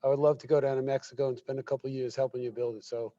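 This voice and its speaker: A man's voice: mid-range voice, flat delivery, racing, dark tone. Man with a strained, tired voice. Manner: friendly, effusive. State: losing his voice. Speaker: guest. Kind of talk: casual conversation. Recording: dull, muffled livestream audio.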